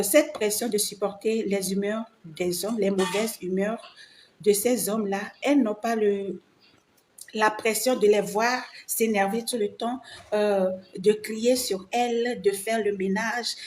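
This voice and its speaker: A woman's voice: medium pitch, fairly even intonation, unhurried, a little mellow. A woman, a French speaker, giving an informal opinion monologue to other women, emphatic and repeating phrases for emphasis. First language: not French. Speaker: vlogger. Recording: noticeable background ambient noise.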